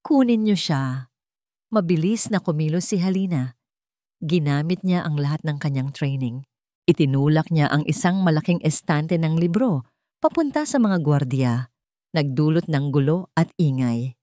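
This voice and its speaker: A woman's voice: deep voice, expressive, moderate pace, warm tone, dry recording, clean studio sound. A woman reading a suspenseful story as a scripted, dramatic narration.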